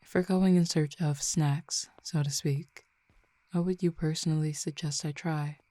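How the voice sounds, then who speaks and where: A woman voicing a commanding, teasing fallen Cupid in an audio roleplay, speaking slowly and intimately with soft whispered stretches. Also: deep voice, fairly even intonation, no room sound. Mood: coaxing. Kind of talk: audio roleplay addressing the listener.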